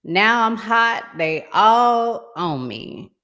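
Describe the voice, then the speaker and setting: A woman's voice: mid-range voice, expressive, extremely slow, bright, forceful tone. An American woman hosting a casual discussion, speaking as a podcast presenter. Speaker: podcast host. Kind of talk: casual discussion of relationship topics.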